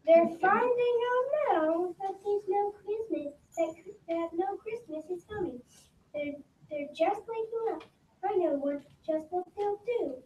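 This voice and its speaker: Slow, high-pitched young storybook reader. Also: a little mellow, lo-fi sound. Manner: slow, stumbling over words. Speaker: readers theater performer. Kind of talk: halting storybook read-aloud. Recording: roomy, distant mic, background noise.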